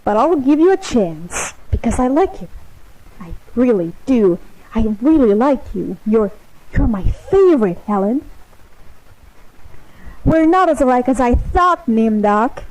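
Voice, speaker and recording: A woman performs a sadistic artificial intelligence with a teenager's god complex. The voice sits fairly high yet mellow and dark, and it draws out each taunt very slowly. The delivery is theatrical game-villain monologue, hateful under a mock-sweet surface. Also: very animated, low-quality recording. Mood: mock-affectionate. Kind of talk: dramatic monologue, fan voice acting of game lines.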